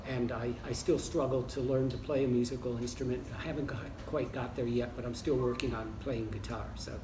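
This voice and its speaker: A semi-retired American man in his sixties, answering interview questions in a relaxed way with frequent pauses and restarts. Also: mid-range voice. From United States. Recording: roomy, distant mic with background noise.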